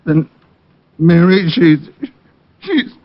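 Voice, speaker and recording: Slow rough young hoodlum, dry close voice, old muffled radio recording. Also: male voice, fairly high pitch, sing-song, dry recording, full character voice. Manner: rough ungrammatical street talk. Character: slow-witted tough young hoodlum, grieving. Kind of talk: 1950s radio drama acting.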